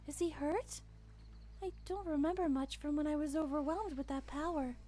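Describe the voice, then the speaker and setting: A woman voicing a gentle, emotional young female pony in a soft, sweet voice, tender and hesitant, in cartoon drama style. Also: high-pitched, normal speed, slight background noise, close to an everyday voice. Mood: caring. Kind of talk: animated fan audio drama acting.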